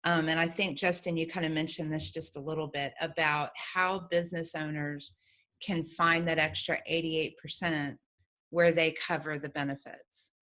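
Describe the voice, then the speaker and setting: A woman's voice: low-pitched, flat delivery, moderate pace, a bit bright. A woman hosting a conversational business interview, asking questions of her guests. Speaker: host.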